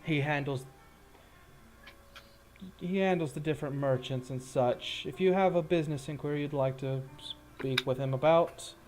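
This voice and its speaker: A man's voice: on the high side, moderate pace, darkish tone, soft background music, lightly acted. A man voicing a firm, officious gate guard in a tabletop game, politely stonewalling visitors.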